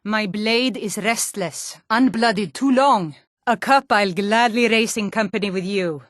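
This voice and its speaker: A woman's voice: mid-range voice, measured pace, dry recording, clean studio sound, close to an everyday voice. A woman playing a proud, hearty Nord warrior in a fantasy game, declaiming solemnly in lofty, archaic, bardic phrases.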